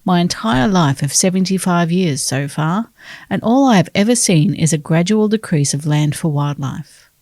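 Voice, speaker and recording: A woman's voice: fairly low pitch, lively intonation, normal speed, a little mellow, no room sound, clean studio sound. Australian woman reading a letter earnestly. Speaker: newspaper reader.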